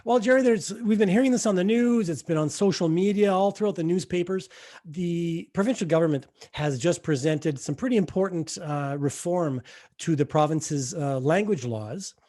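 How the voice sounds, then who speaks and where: A man with a fairly high voice hosts an interview podcast. He reads a scripted introduction and then poses questions in a measured, slightly hesitant way. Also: warm tone. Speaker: podcast host.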